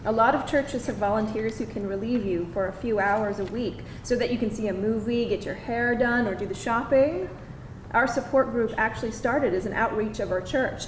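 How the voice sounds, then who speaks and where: A woman's voice: a little high, normal speed, lightly acted. A woman playing a patient counsellor, roomy and distant. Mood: patient, encouraging. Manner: patient, reassuring. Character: patient, soft-spoken, kindly support-group member of fifty. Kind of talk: live screenplay table read. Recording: roomy, distant live hall, faint noise.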